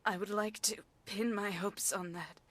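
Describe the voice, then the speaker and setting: An actress playing a grave elven fortune teller in a video game, speaking in a stately, portentous and formal manner. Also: female voice, mid-range voice, fairly even intonation, measured pace, fairly bright tone. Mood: grave, urgent. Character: solemn elven fortune teller, grave adult woman prophetess. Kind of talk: dramatic game cutscene acting.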